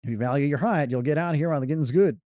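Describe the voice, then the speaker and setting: A man voicing a gruff middle-aged male mechanic from a game, reading the lines with a warning drawl. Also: on the high side, rapid-fire, mellow, dry recording, highs cut off, close to an everyday voice.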